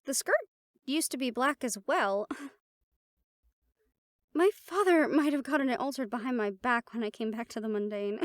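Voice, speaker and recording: A playful half-siren, half-banshee woman acted by a woman chats one-on-one in an audio roleplay. Her voice sits on the high side and sounds very dry, with no room around it. She talks casually and haltingly, with a wistful fondness for home. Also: dry recording, lightly acted. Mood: fond, musing. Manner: casual, chatty, halting, with small laughs. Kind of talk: intimate audio roleplay, one-sided conversation with listener.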